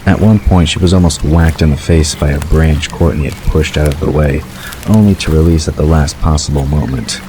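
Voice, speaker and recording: A man narrating a scripted story in a measured storytelling delivery. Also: extremely low pitch. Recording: clear background ambience noise.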